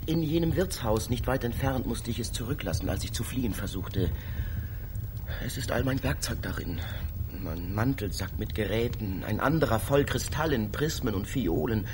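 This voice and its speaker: An actor playing a dreamy, fervent poet-inventor and space traveller in a literary radio drama, with a theatrical, poetic delivery. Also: male voice, medium pitch, animated, moderate pace, noisy background, natural voice. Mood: pleading.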